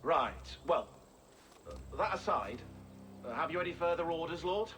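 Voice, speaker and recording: A man's voice: fairly high pitch, expressive, moderate pace, a bit bright, a little hiss or hum. A man voicing a suspicious but deferential soldier captain in a video game, speaking hesitantly to his lord. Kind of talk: video game story acting.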